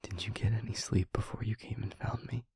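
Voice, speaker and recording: Man acting as husky, whispering, anguished demon. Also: lowish voice, fairly even intonation, dry recording. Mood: grave, hesitant. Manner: halting, hushed, breathy near-whisper, pleading. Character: anguished male demon confessing to a loved one. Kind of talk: intimate audio roleplay acting.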